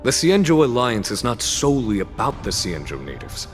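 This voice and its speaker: An actor playing a composed, wise military general who explains matters in a measured, reflective way, in a game cutscene. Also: male voice, mid-range voice, very animated, lightly acted. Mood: calm, explaining. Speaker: army general. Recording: reverb with background music.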